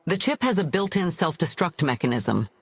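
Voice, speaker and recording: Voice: mid-range voice, big pitch swings, moderate pace, no room sound. A performer voicing a polite, emotionless analyzer AI in a video game, formal and informative. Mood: neutral, helpful. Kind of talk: video game cutscene dialogue.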